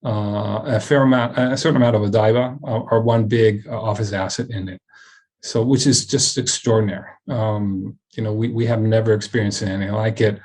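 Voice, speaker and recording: A man's voice: lowish voice, steady tone, a little fast, a little mellow. A man speaking as a company chairman on a web earnings call, giving a semi-scripted business presentation and often repeating words and restarting.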